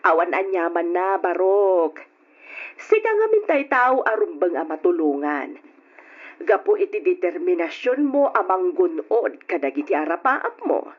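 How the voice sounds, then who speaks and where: Kindly, animated aunt. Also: female voice, lowish voice, measured pace. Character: kindly, encouraging aunt to a young student. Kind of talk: Ilocano radio drama acting. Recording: dull lo-fi old radio recording.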